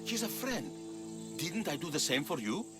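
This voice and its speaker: An actor playing a wily aging former mercenary, an old friend, in a video game. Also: male voice, on the high side, sing-song, moderate pace, music in the background. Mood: pleading, friendly.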